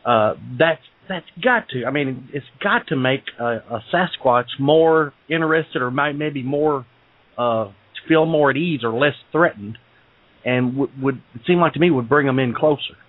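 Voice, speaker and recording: A man's voice: medium pitch, normal speed, no room sound. A male co-host asking questions in an easygoing, jokey way and laughing often. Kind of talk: casual radio interview. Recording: dry, tinny phone line.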